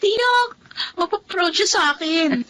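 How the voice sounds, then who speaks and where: A woman's voice: extremely high pitch, lively intonation, slow, noisy background, heavily acted. A woman playing an earnest, respectful young Filipina college student in a radio drama, sincere and eager. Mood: eager, proud.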